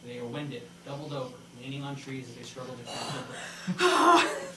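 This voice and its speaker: A man narrating stage directions from a comedy screenplay at a live table read, in a halting, informal way. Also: mid-range voice, huge pitch swings, crowd noise. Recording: roomy, distant pickup with loud room noise.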